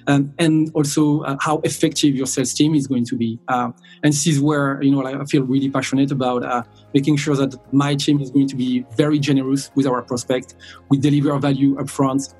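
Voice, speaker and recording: French guy on a podcast, kinda raspy, mid pitch, talking about his work. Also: level tone, fairly quick, darkish tone, faint music behind. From south of France. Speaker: sales director, podcast guest. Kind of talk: conversational interview answers.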